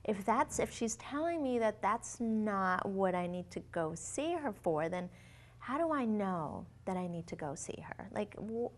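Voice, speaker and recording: A woman's voice: medium pitch, animated, a little slow. A woman from Latin America hosting an interview show, asking curious, friendly questions. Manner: friendly, curious, rephrases questions with "you know". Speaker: host.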